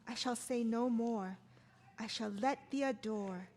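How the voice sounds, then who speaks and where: A woman's voice: on the high side, unhurried, darkish tone, a little hiss or hum, close to an everyday voice. A woman reading the part of a lovelorn, regal queen in a poetic play, solemn and declamatory. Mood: yearning, solemn. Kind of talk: play table read with poetic monologues.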